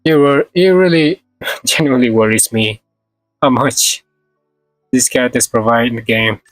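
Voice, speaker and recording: A man's voice: medium pitch, normal speed, dry recording, soft background music, low-quality recording. A man chatting casually on a game livestream, thinking aloud in short, hesitant fragments. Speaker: game streamer. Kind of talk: casual livestream gameplay chat.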